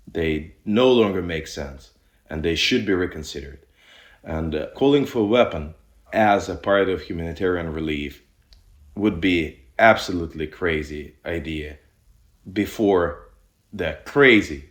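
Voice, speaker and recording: A man from Ukraine, a first aid responder, speaking earnestly in an interview. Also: deep voice. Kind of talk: interview answers. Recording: roomy echo.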